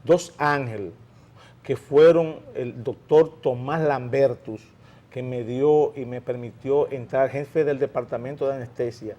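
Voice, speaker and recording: A man's voice: mid-range voice, a little slow, muffled recording. A middle-aged Dominican man hosting a radio talk show, telling stories with energy and talking over his co-hosts. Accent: Dominican Spanish. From Dominican Republic. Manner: animated storyteller, talks over others. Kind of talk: casual panel chat.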